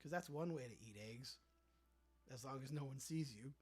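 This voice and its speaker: A man's voice: medium pitch, normal speed, husky. A young man hosting a casual livestream, reading viewer comments aloud and joking about them. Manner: chatty, reads viewer comments aloud and riffs on them playfully. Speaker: livestream host reading chat.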